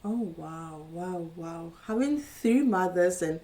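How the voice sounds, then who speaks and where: एक महिला पॉडकास्ट होस्ट, उत्साह से प्रतिक्रिया देती हुई और शब्द दोहराती हुई; आवाज़ नीची, मधुर, माइक से दूर।. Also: female voice, on the low side, expressive, slow pace, mellow. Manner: effusive, repeats words while searching. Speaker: podcast host. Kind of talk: interview questions. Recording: voice sounds distant from the mic.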